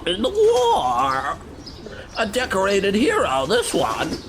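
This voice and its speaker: A woman playing a flustered, emotional pigeon feeder in a radio play, speaking haltingly over a noisy recording with birds. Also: medium pitch, wildly swooping pitch. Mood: wistful, defensive. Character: flustered, tearful pigeon-feeding woman defending her birds.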